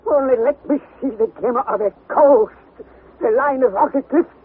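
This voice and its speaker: An actor playing a stubborn, fanatical, bad-tempered older professor in a radio drama, commanding and declamatory in manner. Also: male voice, extremely high pitch, normal speed, breathy edge. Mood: defiant, fanatical. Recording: tinny narrow-band vintage radio recording.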